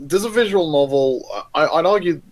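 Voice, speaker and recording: A man's voice: fairly high pitch, moderate pace. A man in casual podcast chat, speaking in a hesitant, self-deprecating way as a panelist.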